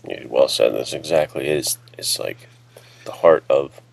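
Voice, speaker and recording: Male co-host, bottom-register voice with clear creak, casual and earnest in conversation. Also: extremely low pitch, animated, moderate pace, slight background noise. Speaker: podcast co-host, writer. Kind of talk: casual chat.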